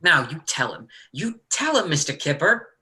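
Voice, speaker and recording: A man playing a cocky, bullying hustler in a play reading, taunting in a folksy, rough-edged way. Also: a little high, big pitch swings, normal speed, pushed and bright, full character voice. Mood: pushy.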